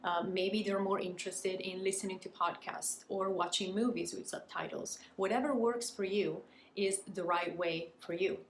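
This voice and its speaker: A woman from Italy, a native Italian speaker with an Italian accent, gives a prepared educational talk as a language coach, articulate and deliberate in her emphasis. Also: mid-range voice, fairly even intonation. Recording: echoey room with faint noise.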